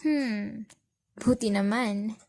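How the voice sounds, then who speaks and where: A woman's voice: fairly high pitch, extremely slow, vocal fry, a little mellow, full character voice. A young woman with a Filipino accent playing a sassy, exasperated student in an amateur radio drama, slipping between casual talk and English phrases. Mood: impatient curiosity. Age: young adult. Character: sassy, exasperated young female student, a blunt best friend.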